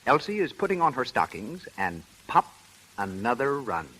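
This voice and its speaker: A man's voice: mid-range voice, big pitch swings, normal speed, sharp tone, breathy edge, low-quality recording. An American man announcing and reading sponsor copy in the style of 1930s radio. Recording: vintage 1930s radio recording.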